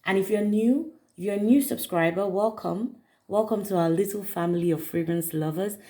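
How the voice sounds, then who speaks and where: A woman hosting her perfume channel, chatting warmly to viewers in a casual review, her voice raspy from a cold. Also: medium pitch, moderate pace. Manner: friendly, chatty, direct to viewers.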